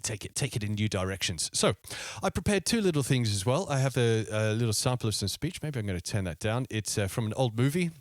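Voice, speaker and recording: A man's voice: lowish voice, expressive, fast, dry recording, clean studio sound. A man hosting a music production tutorial, explaining step by step in an informal way and thinking aloud.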